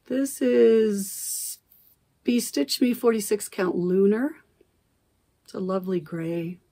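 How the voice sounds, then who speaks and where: Middle-aged lady rambling about her stitching at home, gravelly fry in her voice, still getting it back after losing it. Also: medium pitch, fairly even intonation, slow pace, warm tone. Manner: rambling, self-correcting, chatty. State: recovering from lost voice. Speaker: cross-stitch vlogger. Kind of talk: casual chat. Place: home craft room, indoors. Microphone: camera at desk distance.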